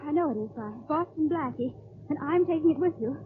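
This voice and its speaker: A spirited young woman of 1940s radio mystery, high in pitch and urgent. She is insistent and answers back sharply. The recording is dull, with clear background noise. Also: expressive. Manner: insistent, quick to retort. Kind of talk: 1940s radio detective drama acting. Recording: muffled, noisy old radio recording.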